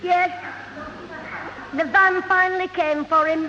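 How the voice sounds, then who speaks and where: Piping, cheeky Northern English schoolboy. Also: male voice, very high voice, fairly even intonation, sharp tone, big-room sound, full character voice. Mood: mock-innocent. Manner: cheeky, quick-witted, mock-innocent. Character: cheeky, scheming schoolboy imp. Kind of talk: 1970 radio sitcom acting. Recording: muffled old radio broadcast, hollow, hissy.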